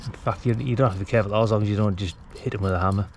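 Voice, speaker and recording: A man's voice: lowish voice, fairly even intonation, very fast, darkish tone. A British man giving a casual, colloquial hands-on DIY tutorial while he works. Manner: informal, colloquial, talking while working. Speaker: DIY vlogger, radio hobbyist. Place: outdoors at a house's brick wall. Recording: clear background noise, outdoor work ambience.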